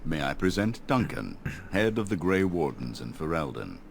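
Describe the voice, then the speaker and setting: An aged elf elder with an extremely deep voice. He speaks in a grave, courtly way, as in a fantasy game, over faint background noise. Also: sing-song, creaky, exaggerated voice. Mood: warm, dignified. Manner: measured, courtly. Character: wise old male elf elder, grave and gracious. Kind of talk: fantasy video game dialogue.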